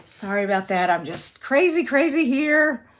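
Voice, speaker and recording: A woman from Texas hosting a live card-making tutorial, her voice a little raspy from singing and allergies, who rambles, apologizes often and laughs easily. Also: sits high, very animated, moderate pace, a bit bright. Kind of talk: live-stream craft tutorial, chatty.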